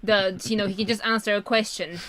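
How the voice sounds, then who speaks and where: A woman's voice: mid-range voice, steady tone, brisk, fairly bright tone. A woman from Bulgaria, chatting casually on a live stream and speaking hesitantly, with many fillers and restarts.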